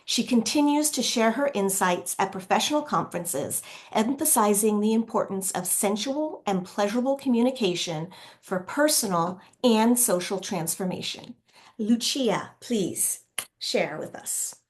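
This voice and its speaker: A woman academic host reading a formal scripted introduction of a presenter in a measured way. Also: medium pitch, lively intonation.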